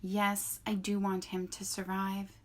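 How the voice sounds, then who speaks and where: A woman's voice: mid-range voice, monotone, takes it slowly. A woman voicing a formal, literal female robot in a storybook reading. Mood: plain. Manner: formal, plain-spoken.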